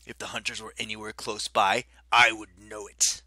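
A man voicing a mocking ancient werewolf king, speaking low and quiet with silky menace. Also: flat delivery, moderate pace.

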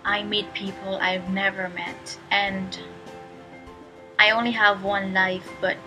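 A soft-spoken young woman from the Philippines talks about her feelings on camera from a bedroom. She speaks through an earphone mic while music plays clearly underneath. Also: medium pitch, little pitch movement, slow, poor audio. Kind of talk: personal testimonial to camera. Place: bedroom at home.

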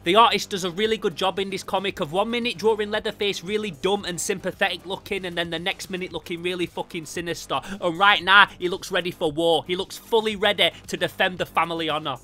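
A man reviewing a comic book in an animated, sweary storytelling style. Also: sits high, fast talker, hardly any pauses, soft background music. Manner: excitable, foul-mouthed, makes sound effects.

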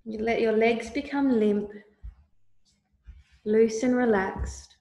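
A woman leading a guided meditation with calm, soothing, deliberate instructions. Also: fairly high pitch. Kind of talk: slow guided meditation narration. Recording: roomy, echoey voice recording.

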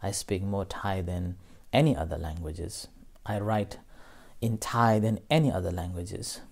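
Calm guy from nepal teaching meditation, slow and mellow, webcam at his desk. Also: deep voice, creaky. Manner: slow, gentle, with pauses and fillers. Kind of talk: dharma talk. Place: small room indoors.

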